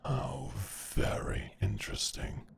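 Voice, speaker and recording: A man playing a stern, shrewd knight in a medieval audio drama, calm, measured and knowing. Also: on the low side, gravelly, breathy edge, dry recording, full character voice. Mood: shrewd, appraising. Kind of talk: dramatic audio roleplay acting.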